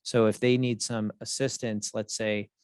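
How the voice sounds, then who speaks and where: A man hosting a podcast, reading the intro and disclaimers in a measured way and putting questions to a guest. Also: on the low side, level tone, a little mellow, clean tone, dry recording, clean studio sound. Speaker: podcast host.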